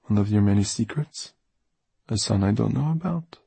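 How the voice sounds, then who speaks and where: A man's voice: on the low side, animated, moderate pace, gravelly, warm tone, slightly rough, no room sound, voice pushed up, full character voice. A man voicing a frank, teasing woman with a suspicious, questioning manner in an audiobook. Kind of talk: audiobook character dialogue.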